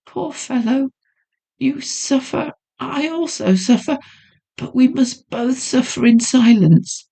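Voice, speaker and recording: A woman's voice: high-pitched, takes it slowly, creaky, warm tone, husky, voice pushed up, exaggerated voice, rough recording. A woman voicing a haunted young noblewoman who pleads breathlessly and trembles with fear. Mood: fearful sorrow. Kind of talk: audiobook character voice.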